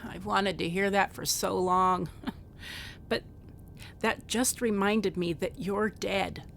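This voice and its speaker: A woman's voice: mid-range voice, steady tone, takes it slowly, gravelly, a bit bright, a little hiss or hum. A woman playing a wry, grieving police investigator in a contemporary radio drama. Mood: wistful, grieving.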